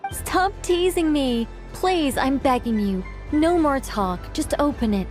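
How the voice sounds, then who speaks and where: Casting: a whimsical, proud female mage, ancient yet needy, high voice, dramatic game delivery, music behind. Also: lively intonation, slow pace. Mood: impatient pleading. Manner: dramatic, chatty, self-conscious. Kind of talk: anime game voice acting.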